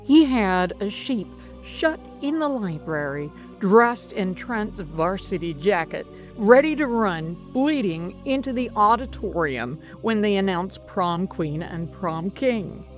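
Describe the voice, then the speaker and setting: A narrator telling a scripted slasher horror story in a deliberate, menacing, dramatic way with theatrical pauses. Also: medium pitch, animated, faint music behind.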